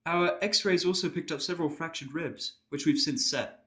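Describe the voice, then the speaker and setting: A man's voice: a little high, normal speed, sharp tone, pitched above the performer's own voice. A man voicing a polite, timid female nurse pony, earnest and deferential, in an amateur audio drama. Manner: deferential, explanatory.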